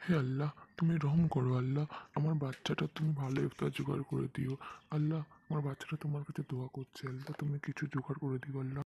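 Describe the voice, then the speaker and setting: A man voicing a gentle, devout father in cartoon dialogue, with some noise in the recording. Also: medium pitch, flat delivery, fast, creaky, natural voice. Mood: prayerful. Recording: faint background noise.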